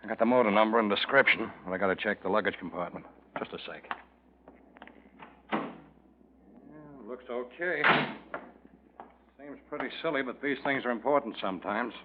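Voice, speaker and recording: An actor playing an easygoing, matter-of-fact police colleague in a 1940s radio drama, speaking in clipped, casual phrases. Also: male voice, on the low side, normal speed, pauses often, natural voice. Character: easygoing, routine-minded male police colleague. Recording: tinny, noisy old radio recording.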